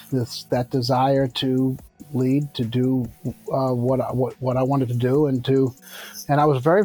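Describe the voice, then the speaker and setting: A man in his sixties speaks at a mid pitch, answering in a measured, reflective way and often pausing to restart his thoughts. Faint music plays underneath his voice. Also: dark tone. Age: 60s. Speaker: construction company founder. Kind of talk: conversational interview answers.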